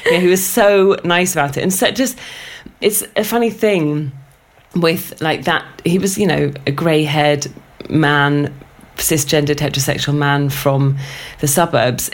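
A woman's voice: low-pitched, steady tone, measured pace. A woman guest telling a rambling personal story in casual conversation, laughing often. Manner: chatty and rambling, laughs often, many fillers. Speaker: guest, writer and former lifeguard.

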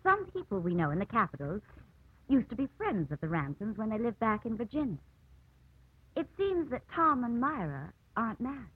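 A woman's voice: on the high side, very animated, normal speed, husky, no room sound. An actress playing a bold, scheming small-town society woman with an arch, needling manner in a vintage radio drama. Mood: coolly scheming. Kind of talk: 1940s-50s radio acting. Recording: muffled old radio broadcast.

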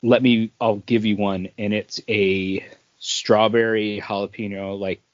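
A man's voice: on the low side, flat delivery, measured pace, no room sound. Male podcast host, conversational and rambling, dry room, lo-fi muffled capture. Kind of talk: casual chat about craft beer.

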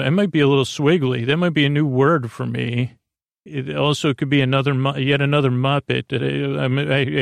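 Man, podcast host, gravelly creak, mid-pitched, meandering bedtime monologue, halting, long-winded, self-interrupting, dry close sound. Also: no echo. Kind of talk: rambling bedtime monologue to lull listeners to sleep.

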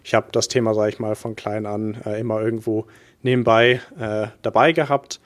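A man's voice: on the low side, flat delivery, normal speed. A young man from England, born in Bristol, answering interview questions about wine investing in an earnest, hesitant way with many fillers. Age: young adult. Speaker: guest, wine investment manager.

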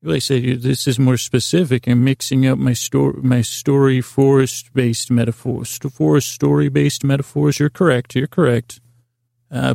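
A man hosting a bedtime podcast in a creaky, soothing voice, improvising a meandering story with halting restarts and repeated phrases. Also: mid-range voice, dry recording, clean studio sound. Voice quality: creaky, gravelly. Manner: gentle, lulling, soothing. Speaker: host of a bedtime sleep podcast.

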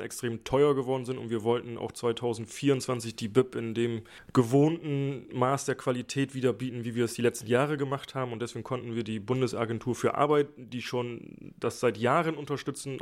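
A man's voice: medium pitch, steady tone, fairly quick, hardly any pauses. A man who organizes a job fair, answering interview questions hesitantly with many fillers.

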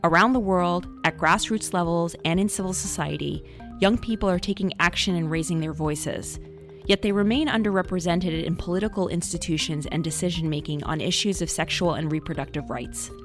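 Low-pitched woman narrating over music. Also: brisk. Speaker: podcast narrator. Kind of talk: scripted documentary narration.

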